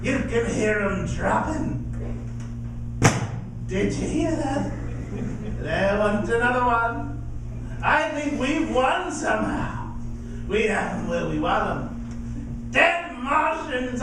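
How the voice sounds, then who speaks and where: Excitable, high-pitched field reporter, echoing. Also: male voice, slow, long pauses, exaggerated voice. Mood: triumphant. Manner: agitated, repetitive, exclaiming. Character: excitable, streetwise New Jersey field reporter. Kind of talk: live radio drama acting. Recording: echoey hall from far off, music behind.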